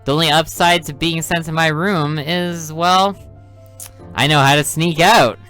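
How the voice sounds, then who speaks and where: A man's voice: on the high side, steady tone, a little slow, fairly bright tone, smooth, soft background music. A man reading the thoughts and lines of a bored teenage girl who sneaks out at night. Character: restless, sneaky teenage girl, detective's daughter.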